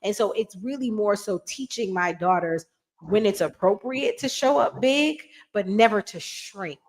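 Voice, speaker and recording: Woman, lively conversational podcast talk. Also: medium pitch, a little slow. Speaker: podcast co-host. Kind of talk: casual chat.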